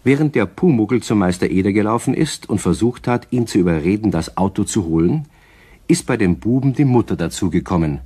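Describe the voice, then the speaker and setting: A man's voice: fairly low pitch, expressive, fairly quick, mellow, husky. A man narrating a children's radio play as a folksy storyteller. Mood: storytelling.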